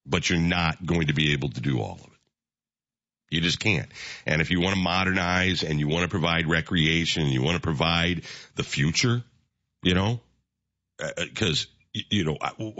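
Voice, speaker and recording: A man with a very low, deep voice talks casually on a morning radio show. He rambles, restarts his sentences and fills them with "you know." The audio sounds a bit dull. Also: moderate pace, pushed and bright, no echo. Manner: rambling, repeats words, many "you know"s. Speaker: radio co-host. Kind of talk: casual local radio talk.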